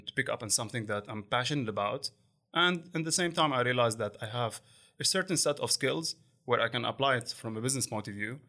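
A man in his forties, a podcast guest who speaks haltingly with many fillers and restarts. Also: mid-range voice, sharp tone. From Lebanon. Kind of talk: conversational interview.

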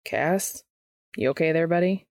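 A woman voicing a flustered, awkward man in an audio story, casual and halting, with a husky voice. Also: sits low, a little mellow, no room sound, voice pushed down. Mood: awkward concern. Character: flustered, awkward gruff man, casual American hunter type.

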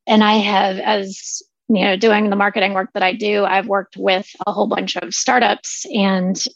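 A woman's voice: mid-range voice, little pitch movement, normal speed, creaky, no echo, dull audio. A woman from Virginia, a guest on a podcast, talking casually and reflectively, often saying "you know". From Highland County, Virginia. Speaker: guest, freelance writer. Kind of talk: conversational interview answer.

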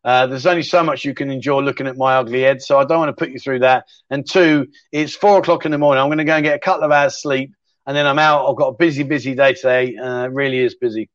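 A man's voice: medium pitch, fairly even intonation, quick pace, no echo, highs cut off. A middle-aged man, casually hosting a livestream chat show and answering viewer questions in a rambling, matey way.

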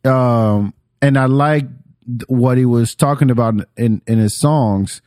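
A man's voice: mid-range voice, a little slow, darkish tone, no room sound, clean studio sound. A man hosting a podcast in casual chat, opinionated and slangy, swearing freely. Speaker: podcast host.

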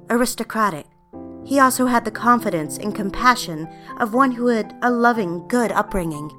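A woman playing a refined, smitten young career woman in a mid-century period audio drama, speaking with measured, demure poise. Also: a little high, sharp tone. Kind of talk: period audio drama with first-person narration.

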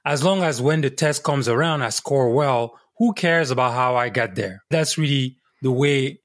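A man from Cameroon, a guest on a podcast, telling a personal story in an unhurried, thoughtful way. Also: medium pitch, lively intonation, no echo, clean studio sound. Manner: unhurried, thoughtful, frequent restarts. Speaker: podcast guest, life coach. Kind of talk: casual interview storytelling.

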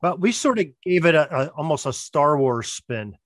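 A man's voice: fairly high pitch, very animated, moderate pace, fairly bright tone, no room sound, clean studio sound. A man in an interview explaining things casually as a producer, repeating words as he builds each point. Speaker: podcast drama producer.

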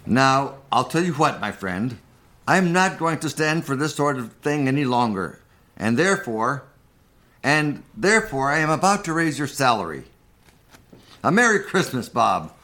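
A man's voice: medium pitch, big pitch swings, moderate pace, bright, forceful tone. A man playing a repentant old miser in a live radio play of a Victorian story, earnest, emphatic and theatrical. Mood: mock stern, then hearty. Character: repentant old miser, pleading, then giddily joyful.

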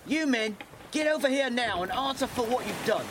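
High, barking ship's officer. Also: male voice, expressive, normal speed, noisy background. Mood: stern, barking. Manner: barking, authoritative. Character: stern ship's officer ordering the crew about. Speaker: actor in audio drama. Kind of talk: nautical audio drama acting.

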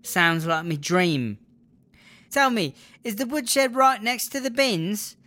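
A woman's voice: mid-range voice, animated, slow, pushed and bright. A woman with a British accent playing a jealous, bitter, foul-mouthed girlfriend in a comedy audio drama, sarcastic and exasperated. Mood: bitterly sarcastic.

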